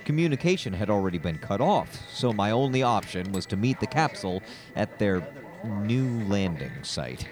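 An actor playing a nervous, formal scientist in an audio drama, explaining himself hesitantly and earnestly. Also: male voice, lowish voice, very animated, normal speed. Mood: guarded, explaining. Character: nervous male scientist, evasive, earnest about his invention.

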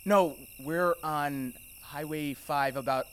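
A man's voice: on the high side, takes it slowly, a little hiss or hum. An actor playing a helpful, practical man who calls for help after an accident in a radio drama. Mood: worried. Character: Russ, a helpful man of a small town.

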